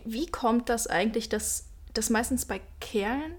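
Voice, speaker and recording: A woman's voice: fairly high pitch, measured pace. A woman in her mid twenties co-hosting a casual podcast chat, blunt and dryly funny. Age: 20s. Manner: blunt, dry humor. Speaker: podcast co-host.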